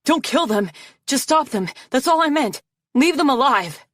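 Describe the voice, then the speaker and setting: A woman voicing a young male dragon prince, anguished and fierce, who shouts and pleads urgently. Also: medium pitch, fairly quick, dry recording, studio quality, voice pushed up, lightly acted.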